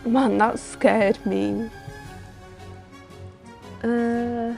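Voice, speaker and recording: A woman's voice: on the high side, little pitch movement, extremely slow, creaky, darkish tone, soft background music. A woman streamer chatting casually on a livestream while playing a story game.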